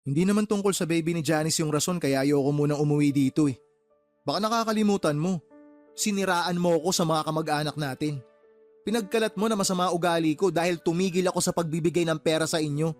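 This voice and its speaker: A man's voice: fairly high pitch, fast talker, faint music behind, lightly acted. A man acting a resentful, hardworking younger brother in a radio drama, indignant and accusing toward his relatives. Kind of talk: radio drama dialogue in colloquial Tagalog.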